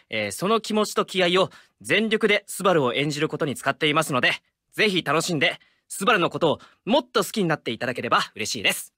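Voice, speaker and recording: A man's voice: on the high side, bright, forceful tone, no echo, studio quality. A man, a voice actor, giving a polite recorded message to game players.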